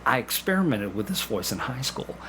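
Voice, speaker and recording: A man's voice: mid-range voice, very animated, a little fast, noisy background. A man being interviewed as a guest, explaining his work conversationally with illustrative examples. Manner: explanatory, acts out examples in quoted dialogue. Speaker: podcast guest, clinical hypnotherapist and community actor.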